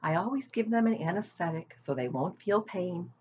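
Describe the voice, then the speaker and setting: Woman voicing a sweet, calm spider lady. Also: fairly low pitch, slight background noise, pitched above the performer's own voice, lightly acted. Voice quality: sweet, musical. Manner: soft, calm, brisk. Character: wise female spider, calm and kind. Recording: dull lo-fi recording.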